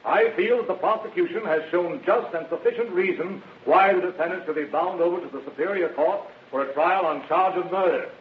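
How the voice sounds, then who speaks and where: An actor playing a formal, confident district attorney in an old radio drama. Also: male voice, high-pitched, brisk, few pauses, close to an everyday voice. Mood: firm arguing. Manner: formal, confident courtroom questioning and argument. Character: assured, formal male prosecuting district attorney. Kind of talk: 1940s radio acting. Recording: muffled, noisy old radio recording.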